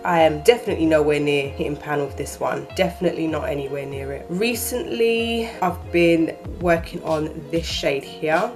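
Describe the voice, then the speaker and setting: A woman chatting casually to her camera in a rambling, self-correcting way, her voice a little croaky from just waking up. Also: low-pitched, darkish tone. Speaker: beauty vlogger. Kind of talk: casual chat. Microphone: handheld camera, shaky framing.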